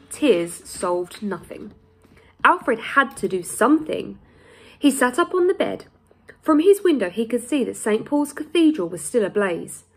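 Higher-voiced woman reading a storybook, slightly husky. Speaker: primary school teacher reading aloud. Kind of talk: children's storybook narration. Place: small plain room indoors.

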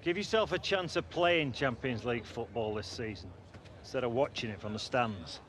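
A man's voice: medium pitch, moderate pace, fairly bright tone, lightly acted. Middle-aged football coach, acted by a man. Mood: firm, instructive. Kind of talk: video game cutscene dialogue.

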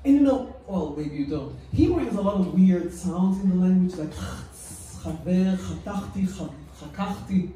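A woman from Israel with an Israeli accent and a low, deep voice, performing a stand-up set with deadpan, self-mocking delivery on a small club stage into a handheld mic. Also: normal speed, few pauses, a little hiss or hum. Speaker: stand-up comedian. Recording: echoey club, heard from a distance.

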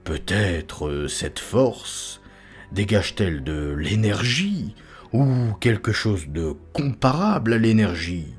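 A man voicing a haunted, awestruck occult writer in an audiobook, speaking in a halting, dramatic way. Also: lowish voice, sing-song, soft background music. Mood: feverish wondering. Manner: halting, dramatic, hesitant pauses. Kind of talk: dramatic audiobook character reading.

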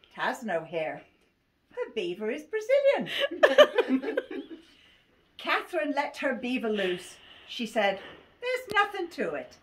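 A woman's voice: high-pitched, wildly swooping pitch, slow, long pauses. An 80-year-old woman with an English accent, reading a rhyming picture book aloud and often giggling as she goes. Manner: giggly, often breaking into laughter while reading. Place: small cluttered living room. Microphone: phone camera a few feet away. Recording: faint noise, clicks, home phone video.

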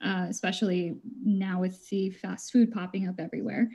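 A woman from Costa Rica debating over a video call, animated and emphatic. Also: medium pitch, moderate pace. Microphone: webcam video call.

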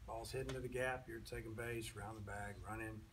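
A man's voice: on the low side, fairly even intonation, fast. A man coaching a college baseball team, answering reporters after a game in a plain-spoken way, with a lot of "you know" fillers. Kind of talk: postgame press conference answers. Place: outdoor press area with sponsor backdrop. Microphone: table mics, recorded from a distance. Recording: distant mic with outdoor noise.

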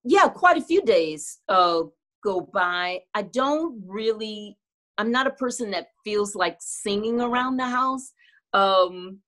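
A woman's voice: medium pitch, expressive, slow, fairly bright tone. Candid middle-aged woman on a video call. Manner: candid, reflective, laughs easily. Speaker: interview guest, singer. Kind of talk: casual video-call interview. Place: home room with clothing rack. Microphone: video call webcam.

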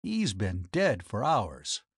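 A man's voice: fairly low pitch, huge pitch swings, slow pace, slightly rough, dry recording, studio quality, heavily acted. A man voicing a young doctor in an audiobook story, frank, puzzled and emphatic. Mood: brisk, puzzled. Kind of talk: audiobook character voice.

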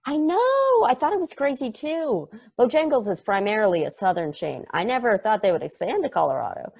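A man reading an excitable, chatty space probe in a table read over a video call, rambling off into tangents. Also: very high voice, fairly quick, creaky, breathy edge, exaggerated voice. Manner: enthusiastic, rambling, tangent-prone.

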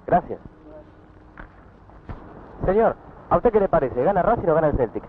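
A man with a Rioplatense accent fires short questions at passers-by, his fairly high voice bouncing up and down. The sound is tinny and narrow like an old 1960s newsreel, with street noise faintly underneath. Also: sing-song. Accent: Rioplatense Spanish. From Argentina. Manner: brisk, quick-fire questioning. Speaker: street reporter. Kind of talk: vox pop interviews. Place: city streets and harbor, outdoors. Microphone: handheld corded mic.

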